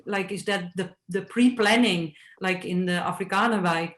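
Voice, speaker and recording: A woman's voice: mid-range voice, moderate pace, echoey room. A woman artist speaking thoughtfully on a panel, with frequent "like" fillers. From Netherlands. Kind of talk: panel discussion.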